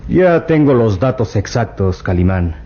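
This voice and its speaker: An actor playing a courteous, helpful embassy official in a vintage radio drama. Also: male voice, on the low side, very animated, measured pace, mellow. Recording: dull lo-fi old radio recording.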